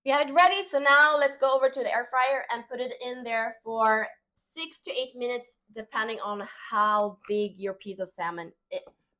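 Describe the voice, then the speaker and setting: A woman with a Swedish accent, whose first language is Swedish, hosts a casual cooking demonstration in her home kitchen. Her voice sits a little high, and she chats along easily, with plenty of fillers. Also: normal speed, rough recording. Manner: relaxed, chatty, full of fillers. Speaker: cooking show host.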